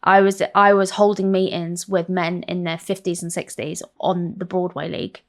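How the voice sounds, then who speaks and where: A woman's voice: fairly low pitch, moderate pace. Candid English woman telling stories. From South London. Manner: animated, swearing, self-mocking storyteller. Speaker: guest. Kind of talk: candid interview chat.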